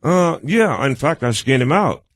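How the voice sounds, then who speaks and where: An older American man answering as an interview guest, halting and wordy, with folksy turns of phrase. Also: on the high side, big pitch swings, fairly bright tone, no echo. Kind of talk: conversational, reminiscing interview answers.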